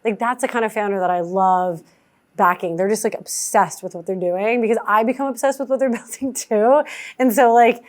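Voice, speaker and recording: A woman's voice: steady tone, moderate pace. Chatty woman investor, filler-heavy. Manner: acts out founders' lines in a higher voice. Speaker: venture investor guest. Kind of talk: casual answers to audience questions.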